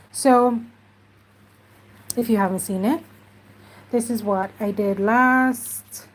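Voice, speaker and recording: Woman, craft tutorial host, slow easy chat, a bit stuffed up. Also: medium pitch, husky, poor audio. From Jamaica. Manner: chatty, unhurried, talks while working. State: stuffy nose.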